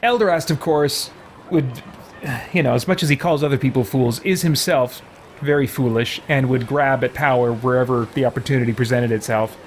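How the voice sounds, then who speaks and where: A man's voice: medium pitch, normal speed, a little hiss or hum. A man hosting a casual roleplay let's play, thinking aloud hesitantly as he comments. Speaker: gaming channel host.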